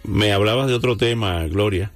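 A man hosting a radio interview, speaking in a measured way and often chiming in with short agreements. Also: lowish voice, music in the background.

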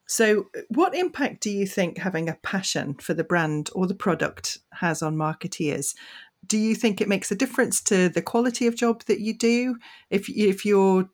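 A woman hosting an interview, asking encouraging questions. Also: medium pitch. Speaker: podcast host. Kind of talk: conversational interview.

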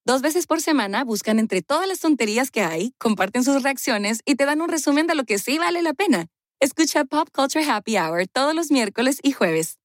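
A woman's voice: sits high, lively intonation, normal speed, no room sound, studio quality. A woman reading a promotional spot for a podcast in an upbeat promotional manner. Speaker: promo announcer. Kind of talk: scripted promo read.

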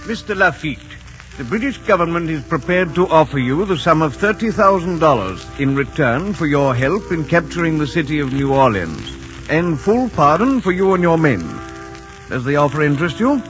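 A man plays a formal, courteous officer with a highish, lively voice. He speaks in a stately way, in 1940s radio drama style, on a muffled recording with music behind. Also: normal speed, natural voice. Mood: formal, assured. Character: formal, courteous male British military envoy.

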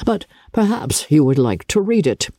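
A woman voicing a weary older gentleman father in a classic novel, resigned and deliberate. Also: sits low, big pitch swings, no room sound, clean studio sound, pitched below the performer's own voice.

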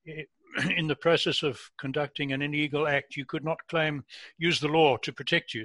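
An older man with a Southern African accent, co-hosting a podcast and asking a guest questions in a measured, explanatory way. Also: a little high, sharp tone, no room sound. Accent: Southern African English. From Rhodesia and South Africa. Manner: measured, explanatory, repeats words when starting. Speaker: podcast co-host. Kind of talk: conversational interview.

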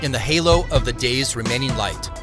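A man narrating a scripted audio drama in the first person as a reflective investigator, with measured, descriptive storytelling. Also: medium pitch, lively intonation, music in the background, close to an everyday voice. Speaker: narrator and lead character.

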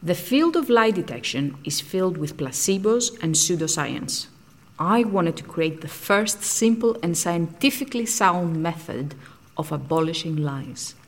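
A woman's voice: fairly low pitch, expressive, measured pace. An actress playing a frank, socially outcast woman scientist in an audio drama, speaking in a blunt, matter-of-fact way.

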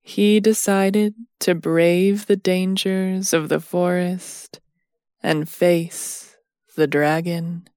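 A woman narrates a bedtime story at an extremely slow pace. Her voice sits a little low and is recorded dry and close, with no room sound. She is soothing and measured, resting between phrases. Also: darkish tone, dry recording.

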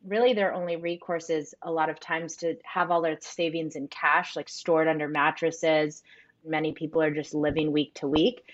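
A woman's voice: sits low, little pitch movement, moderate pace, lo-fi sound. A woman whose first language is Spanish, a company co-founder answering interview questions earnestly, with frequent "um" and "like". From United States. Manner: earnest, reflective, frequent fillers like "um" and "like".

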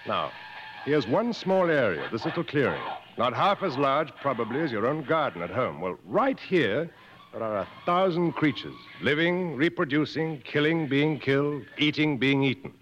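A man's voice: mid-range voice, very animated, normal speed, fairly bright tone. An actor playing a seasoned, world-weary male jungle guide in a radio drama, speaking in a knowing, didactic, dryly fatalistic way. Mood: knowing, instructive. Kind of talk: mid-century American radio drama acting. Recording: dull vintage radio recording, faint noise.

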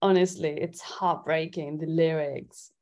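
Chatty woman from Italy with a lowish voice. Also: fairly even intonation, a little slow, darkish tone. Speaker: interview guest, singer-songwriter. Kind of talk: casual interview chat.

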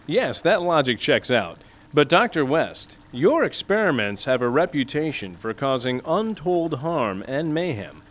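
A man's voice: fairly high pitch, sing-song, a little slow, a bit bright. A man playing an earnest, self-important heroic young man with a formal, declamatory manner in a comic audio drama. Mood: earnest, doubtful. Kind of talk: audio drama comedy acting.

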